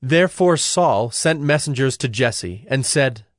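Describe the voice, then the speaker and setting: A man narrating a scripted Bible story in a formal, measured storytelling manner. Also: mid-range voice, animated, dry recording, clean studio sound. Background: music.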